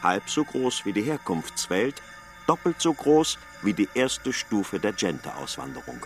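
A man's voice: on the low side, very animated, a little slow, over music. A man playing a lyrical narrator in a radio drama, reciting poetic description and savouring each phrase.

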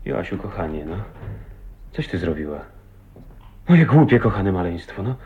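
An actor playing a tender, earnest husband in a radio drama, with a husky voice and an intimate, unhurried manner. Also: male voice, sits low, sing-song, background noise. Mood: tender reproach. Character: tender, earnest husband, a lonely millionaire's son. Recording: dull, muffled old radio recording.

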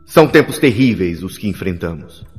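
Male actor as grave, grandiose Time Lord orator for audio drama; lowish voice, big reverb. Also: animated, normal speed. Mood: rousing, solemn. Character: solemn, grandiose male Time Lord leader addressing his people. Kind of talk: theatrical political speech in a sci-fi audio drama. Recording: long hall reverb, music underneath.

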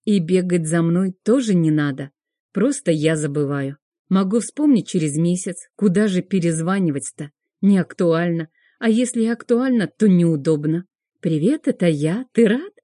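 A woman's voice: on the low side, moderate pace, dark tone, no room sound, clean studio sound. A woman reading a scripted first-person story as an audiobook narrator.

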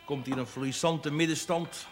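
A man plays a worldly squire in a television period drama. His voice is on the high side, with a casual, ironic, lordly ease. Faint music plays behind him. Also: steady tone, moderate pace, close to an everyday voice. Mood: amused, dismissive. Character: breezy, worldly young country squire, amused and condescending.

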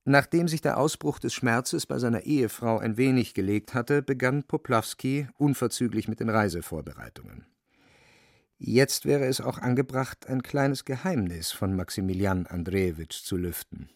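Male narrator, literary radio play, measured delivery, wry, dry studio sound. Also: mid-range voice, expressive, gravelly, no room sound.

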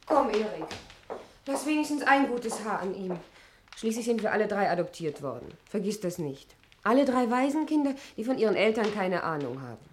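An actress playing a weary, complaining young governess in a 1960s German radio play, chatty, teasing and plaintive. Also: female voice, medium pitch, sing-song, normal speed, natural voice. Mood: placating. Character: weary, complaining young governess visiting her adoptive aunt. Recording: noisy 1960s radio recording with clicks.

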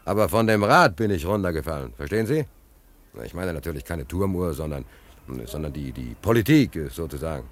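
Ein Mann spielt in einem deutschen Hörspiel einen gestrandeten, ruhelosen Seemann, der nachdrücklich und abschweifend erzählt und sich oft wiederholt. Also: male voice, low voice, sing-song, brisk. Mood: agitated explaining. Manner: emphatic, rambling, repeats himself, hesitant fillers. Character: stranded, restless seaman, rambling and philosophizing about his luck. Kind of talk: German radio play dialogue.

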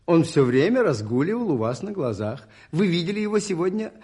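Husky, unassuming priest detective. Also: male voice, a little high, very animated, mellow. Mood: quietly amused. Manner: polite, measured, explanatory. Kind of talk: 1980s radio play acting.

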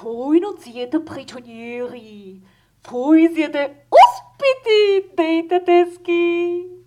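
Woman acting a pompous, slow-speaking German general. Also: very high voice, warm tone, voice pushed up, heavily acted. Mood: pompous, proclaiming. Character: pompous German general welcoming prisoners, male officer. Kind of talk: theatrical stage storytelling.

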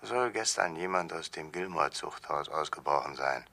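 Gravelly, menacing escaped convict. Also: male voice, a bass voice, lively intonation, pushed and bright, full character voice. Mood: sardonic menace. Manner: sardonic, deliberate, threatening. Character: menacing escaped male convict, bitter and mocking, seeking revenge. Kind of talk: radio crime drama acting.

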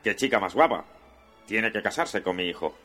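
Man doing a fat croaking toad, bright and husky. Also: on the low side, expressive, normal speed, soft background music. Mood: admiring.

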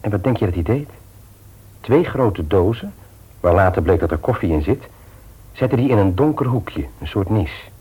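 Bezorgde huisvader met lage, levendige, schorrige stem. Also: male voice, lowish voice, animated, moderate pace, mellow, husky, low-quality recording. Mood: casual, confiding. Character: conscientious, troubled family man and office worker, jovial host. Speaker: radio play actor. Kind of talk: naturalistic Dutch radio drama acting. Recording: radio play recording.

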